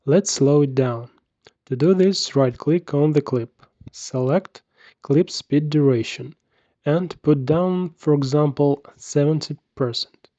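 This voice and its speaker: Male tutorial narrator, unhurried and soft-edged, measured instruction, dry and slightly dull recording. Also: a little high, no echo. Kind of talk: scripted software tutorial narration.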